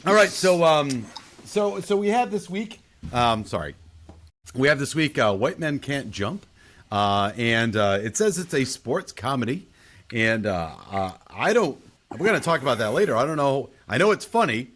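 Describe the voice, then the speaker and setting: A jokey man co-hosts a podcast in casual conversation. His voice sits at a medium pitch and swings up and down with very animated intonation. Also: normal speed. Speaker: podcast co-host. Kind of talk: casual chat.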